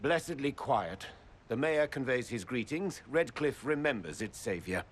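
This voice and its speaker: A man's voice: mid-range voice, lively intonation, normal speed, a little hiss or hum, lightly acted. Man voicing a stern courtly nobleman. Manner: formal, firm, courtly. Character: stern male nobleman envoy. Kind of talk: video game cutscene acting.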